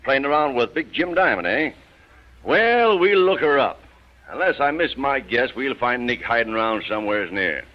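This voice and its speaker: An actor playing a tough, sardonic police captain, brusque and commanding, in an old-time radio crime drama. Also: male voice, medium pitch, big pitch swings, normal speed, close to an everyday voice. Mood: pressing, shrewd. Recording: muffled vintage radio recording.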